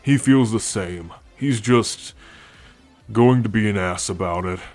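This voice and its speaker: A man voicing a friendly, teasing alien soldier in an intimate ASMR roleplay, talking in a gentle, playful, confiding way. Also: fairly low pitch, unhurried, soft background music, close to an everyday voice. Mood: sincere, reassuring. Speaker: voice actor in an audio roleplay. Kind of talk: ASMR roleplay, intimate one-sided conversation.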